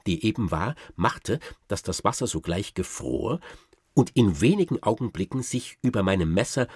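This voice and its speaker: Radio play role: boastful baron, low husky voice, ornate wry storytelling. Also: male voice, no room sound, clean studio sound, exaggerated voice. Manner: ornate, deliberate, dryly ironic, expressive. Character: boastful old-time baron telling tall hunting tales. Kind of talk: theatrical first-person storytelling in a radio play.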